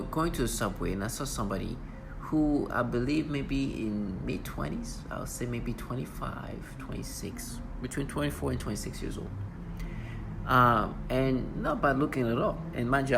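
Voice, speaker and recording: A man's voice: medium pitch, vocal fry, background noise. A man in his thirties talking at length in an unscripted monologue, insistent and repetitive, often restarting his sentences. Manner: insistent, repeats himself, many restarts. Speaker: vlogger.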